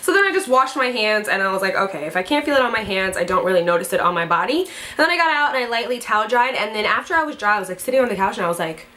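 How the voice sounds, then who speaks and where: A woman's voice: mid-range voice, expressive, racing, hardly any pauses, bright, forceful tone. A young woman in her twenties chatting casually as a beauty vlogger, gushing and bubbly, a little congested from a head cold. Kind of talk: casual chat to camera.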